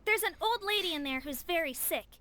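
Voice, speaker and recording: Small feisty game creature, really high bright voice, plucky. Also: female voice, lively intonation, fairly quick, faint music behind, full character voice. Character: short, feisty, plucky female creature on guard. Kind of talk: video game voice acting.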